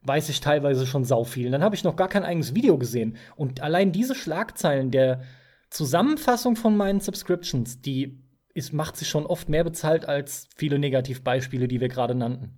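Opinionated man with a mellow voice. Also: fairly high pitch, animated, moderate pace. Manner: opinionated, emphatic, colloquial. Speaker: podcast co-host. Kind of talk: casual podcast chat.

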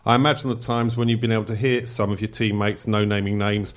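Articulate man with a lowish voice. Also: flat delivery, fast. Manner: articulate, long wry questions. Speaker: fan asking questions.

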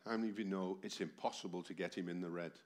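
Deep-voiced older preacher. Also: male voice, fairly even intonation, racing. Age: 70s. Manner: emphatic, with rhetorical questions to the congregation. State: tired voice from a heavy cold. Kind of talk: sermon. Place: church hall with a congregation. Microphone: amplified microphone.

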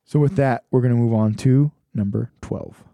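A man's voice: medium pitch, moderate pace, vocal fry, warm tone. A young man hosting a podcast, thinking aloud in a casual reflective monologue with many restarts and fillers. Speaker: podcast host.

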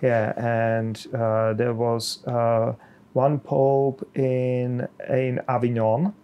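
A middle-aged man from the Czech Republic with a Czech accent, a pastor speaking slowly and haltingly with many pauses in a podcast conversation. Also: mid-range voice, little pitch movement, smooth, dull audio.

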